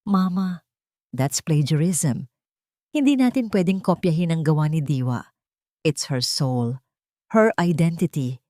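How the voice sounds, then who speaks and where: A woman's voice: sits low, expressive, measured pace, long pauses, dark tone, no room sound, studio quality. A woman with a Filipino accent voicing an earnest, principled adult son of a rich family in an audiobook. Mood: shocked protest. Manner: earnest, protesting.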